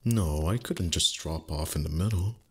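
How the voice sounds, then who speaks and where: A man voicing an ancient, proud dragon, gruff but secretly tender, with haughty, teasing, formal speech in a roleplay ASMR performance. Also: very deep voice, normal speed, a little mellow. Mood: cynical, teasing.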